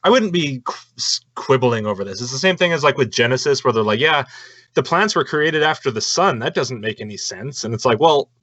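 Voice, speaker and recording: A man argues casually on a panel podcast, thinking out loud and swearing. His voice sits mid-range, in close, dry sound that is slightly muffled. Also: medium pitch, lively intonation, a little fast, no echo. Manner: profane, reasoning aloud. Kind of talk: casual argumentative chat.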